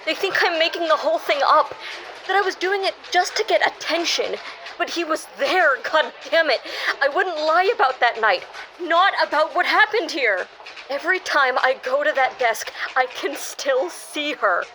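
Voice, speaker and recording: High-voiced distraught, defiant woman, played by an actress. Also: animated, normal speed, bright, forceful tone, a little hiss or hum, low-quality recording. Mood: desperate, pleading. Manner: emotional, swearing, bitingly sarcastic. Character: distraught, defiant woman haunted by a traumatic night. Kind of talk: audio drama dialogue acting.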